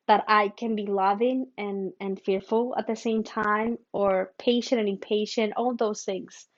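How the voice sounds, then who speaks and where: Woman, slightly muffled recording. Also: mid-range voice. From Mexico. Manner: halting, thoughtful, with humming pauses. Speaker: spiritual teacher. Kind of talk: spiritual teaching talk.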